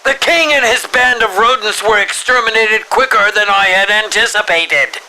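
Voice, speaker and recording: An actor playing a pompous, calculating villain who savors horror, delivering an ornate, theatrical and deliberate monologue for a video game. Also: male voice, high-pitched, sharp tone, poor audio. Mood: grim musing. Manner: ornate, deliberate, theatrical, breathy dips into near-whisper. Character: sinister, pompous male filmmaker villain, coolly calculating connoisseur of horror.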